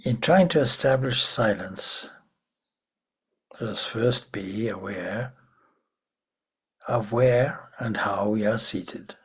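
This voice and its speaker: Slow, breathy man guiding meditation. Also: lowish voice. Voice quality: breathy, husky. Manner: hushed, measured, with long pauses. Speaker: meditation teacher, swami. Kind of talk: guided meditation.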